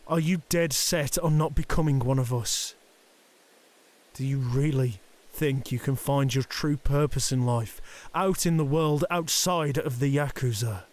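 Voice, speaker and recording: Slow, commanding Yakuza boss played by a man. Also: mid-range voice, close to an everyday voice. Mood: grudging, pained. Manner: commanding, formal, deliberate. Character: stern, proud Yakuza boss, a conflicted father. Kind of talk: audio roleplay drama acting.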